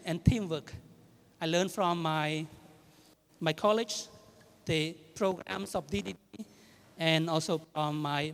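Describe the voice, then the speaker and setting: Khmer accent guy in his 30s giving a speech, lots of ums and restarts, echoey hall. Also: high-pitched. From Cambodia. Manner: halting, with fillers and self-corrections. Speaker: guest speaker. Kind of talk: public speech. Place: large indoor event hall. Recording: reverberant event hall.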